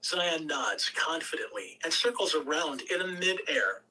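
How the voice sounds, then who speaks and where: A woman's voice: sits low, unhurried, sharp tone, distant mic, low-quality recording. A woman reading stage directions as scripted narration at a table read.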